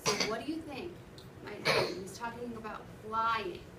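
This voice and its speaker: A woman teacher reads interactively to children in a fairly high voice, often wondering aloud to prompt them. She is recorded from a distance in an echoey classroom. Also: normal speed. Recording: roomy, noisy classroom from far off.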